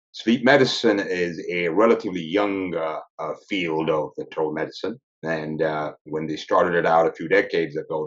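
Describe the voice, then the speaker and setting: A man in his fifties with an extremely deep, low voice. He speaks slowly and deliberately, pausing often to find his words. The sound is dull and lo-fi. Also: fairly bright tone. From Ethiopia. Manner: measured, deliberate, often pausing mid-sentence. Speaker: sleep medicine physician guest. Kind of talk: interview answers. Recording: dull lo-fi recording.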